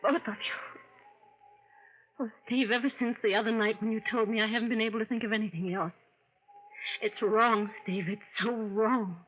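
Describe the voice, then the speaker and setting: A thin, telephone-like old broadcast with faint noise. An actress plays an earnest young woman in a 1940s radio melodrama. Her voice is fairly high, dramatic and full of anguished conscience. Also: steady tone, moderate pace, pauses often, close to an everyday voice. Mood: pleading, worried. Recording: tinny, noisy old radio recording.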